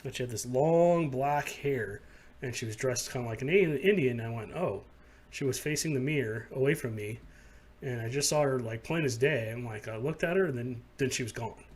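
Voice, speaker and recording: Host: easygoing man, mid-pitched voice, loose talk with lots of fillers. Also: normal speed, breathy edge. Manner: loose storytelling, many fillers. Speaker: paranormal podcast host. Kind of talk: casual interview chat.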